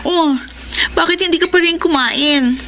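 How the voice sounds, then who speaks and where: A woman's voice: very high voice, unhurried, pushed and bright, slight background noise, full character voice. An actress playing a curious young woman who questions her older brother figure about his feelings, in a radio drama. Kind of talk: classic radio drama acting.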